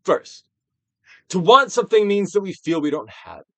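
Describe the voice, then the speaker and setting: A man giving a dramatic, theatrical reading of a self-help book, emphatic, with swells and hushed drops. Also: high voice, very animated, normal speed, vocal fry, no echo. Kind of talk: theatrical dramatic reading of scripted instructional text.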